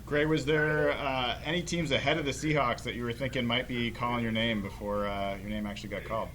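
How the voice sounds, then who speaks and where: Male reporter, medium pitch, asking press questions, faintly noisy call recording. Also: fairly even intonation, brisk, a bit bright. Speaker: sports reporter. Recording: slightly noisy conference call audio.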